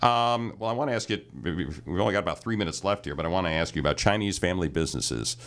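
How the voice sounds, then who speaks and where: A man's voice: low-pitched, fast, fairly bright tone. A man hosting a university TV program, interviewing guests with prompting questions. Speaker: TV show host. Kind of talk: studio interview.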